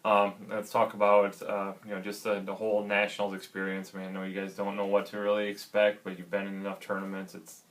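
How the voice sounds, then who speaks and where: A man hosting a college athletics interview, upbeat and casual, with many fillers and quick agreements. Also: lowish voice, completely flat delivery. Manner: upbeat, filler-heavy, frequent backchannel agreement.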